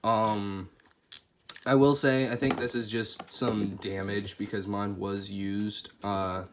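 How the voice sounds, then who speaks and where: A stuffy-nosed man with a lowish voice talks through a toy figure review, relaxed and chatty, thinking aloud as he handles it. His voice cracks a little from a cold. A clear, steady background noise runs under him. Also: slow pace. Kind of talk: casual product review.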